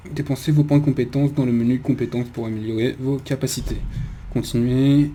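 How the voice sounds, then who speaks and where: A man's voice: mid-range voice, fairly even intonation, fairly quick, dark tone. A man giving casual video game commentary, thinking aloud in a hesitant way. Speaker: video game let's player. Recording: faint background noise.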